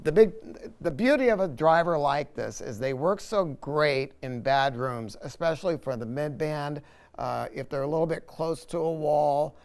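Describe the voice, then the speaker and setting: A man's voice: fairly high pitch, animated, normal speed, clean tone. A man hosting a casual interview chat about products. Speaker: host, audio retailer. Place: video studio.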